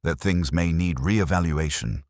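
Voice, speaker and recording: A man voicing a brisk, formal committee chairwoman with a stiff manner. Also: deep voice, no room sound, clean studio sound. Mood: stiff, conceding.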